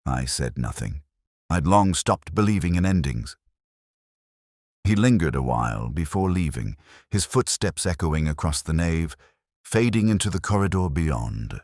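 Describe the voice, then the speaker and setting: A man reading a scripted first-person detective story in a measured, brooding, reflective way. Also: rumbling low, sing-song, a little mellow, dry recording, studio quality. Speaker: narrator.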